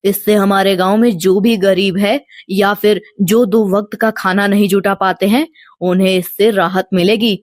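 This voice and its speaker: A woman voicing a kind, devout village father with a gentle, humble manner in a storybook tale. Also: mid-range voice, fairly even intonation, moderate pace, dry recording, clean studio sound, pitched below the performer's own voice, close to an everyday voice. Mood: earnest, kind.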